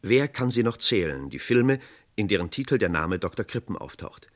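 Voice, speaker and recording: Low-voiced 1960s radio crime narrator. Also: male voice, sing-song, moderate pace, soft background music. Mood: measured, rhetorical. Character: male narrator of a 1960s true-crime radio documentary drama.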